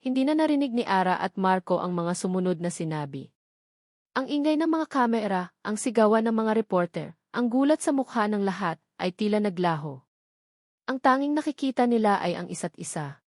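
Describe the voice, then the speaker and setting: A woman with a Filipino accent reads a scripted romance story as narrator, with measured, expressive dramatic delivery. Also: medium pitch, no echo, studio quality.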